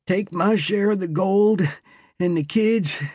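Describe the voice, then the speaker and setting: A man's voice: high voice, takes it slowly, darkish tone, no room sound, studio quality, voice pushed up. A man voicing a dying male outlaw who speaks weakly and breaks off mid-sentence while he pleads. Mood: weak, pleading.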